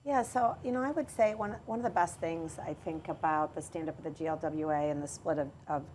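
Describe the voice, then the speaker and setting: A middle-aged woman, an executive telling her career story in a podcast interview, measured and often pausing to restart. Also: low-pitched, a little mellow, slight background noise, rough recording. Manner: measured, with frequent hesitations and restarts. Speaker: water authority chief executive.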